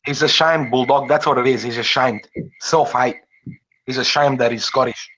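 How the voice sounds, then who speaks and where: Brief: male host, bright voice, short prompts, dull lo-fi audio. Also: medium pitch, fairly even intonation, moderate pace, slight background noise. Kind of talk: casual chat.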